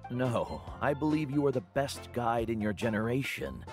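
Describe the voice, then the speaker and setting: A man playing a caring older mentor guide in a video game, speaking in a measured, solemn manner. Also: medium pitch, very animated, soft background music, close to an everyday voice. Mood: concerned. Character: gentle, caring older male mentor guide, called uncle.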